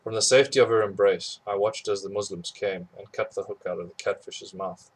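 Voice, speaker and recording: A man reads a memoir aloud, telling it plainly and steadily with no frills. His voice sits on the low side and has a husky, slightly breathy edge. The delivery is unhurried narration throughout. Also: steady tone, low-quality recording. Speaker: audiobook reader.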